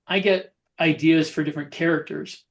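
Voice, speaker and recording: Higher-voiced man musing casually. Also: fairly even intonation, normal speed, creaky. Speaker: podcast co-host.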